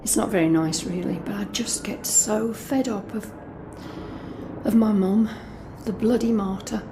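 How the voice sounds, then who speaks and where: A woman's voice: medium pitch, fairly even intonation, measured pace, background noise, natural voice. An actress playing a jaded, snobbish young British woman who confides private thoughts in a confessional, sardonic audio drama monologue. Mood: guarded, weary. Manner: confessional, sardonic, muttering asides to herself.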